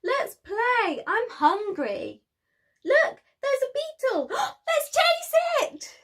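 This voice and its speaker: Eager little lion cub girl for a storybook, acted by a woman: very high, excitable, impatient. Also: drawn-out words, full character voice. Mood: excited, eager. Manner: excitable, exclaiming.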